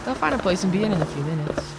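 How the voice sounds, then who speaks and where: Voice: medium pitch, animated, very fast, heavy background noise, lightly acted. A performer playing a protective, level-headed boy, a big brother, in an audio drama.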